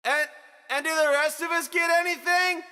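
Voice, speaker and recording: A man's voice: extremely high pitch, normal speed, heavily acted. A man playing a naive, gung-ho teenage football player in a manga parody, eager and dim, in a reverberant space. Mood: earnest, clueless. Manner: eager, dim, shouting with excitement. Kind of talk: comedic manga parody voice acting.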